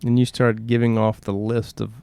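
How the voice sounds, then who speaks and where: A man's voice: fairly low pitch, little pitch movement, moderate pace, vocal fry, warm tone, clear, resonant tone, dry recording. A man co-hosting a podcast, speaking in an earnest, reflective conversational style and often voicing questions and quotes. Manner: earnest, poses rhetorical questions, acts out quotes. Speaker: podcast co-host.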